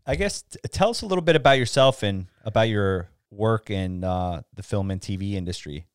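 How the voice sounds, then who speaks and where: A man with a notably deep, low voice hosts a podcast, reading his opening in a steady announcer manner before easing into casual conversation. The sound is close and dry, with no room echo. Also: clean tone. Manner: reads intro in a measured, announcer-like way. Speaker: podcast host. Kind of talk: scripted intro then casual interview.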